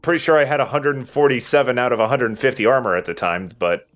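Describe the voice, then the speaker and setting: A man's voice: mid-range voice, fast, fairly bright tone. A man giving casual, joking commentary as he plays and hosts a let's play. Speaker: let's play host and player. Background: video game music and combat sounds.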